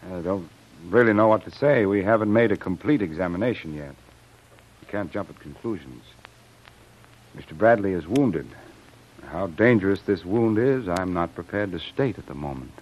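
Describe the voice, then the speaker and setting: An actor playing a formal, paternal army doctor with a hesitant, measured manner in an old radio serial. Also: male voice, low-pitched. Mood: guarded, hesitant. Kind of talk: 1930s radio serial acting. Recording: dull old lo-fi radio recording.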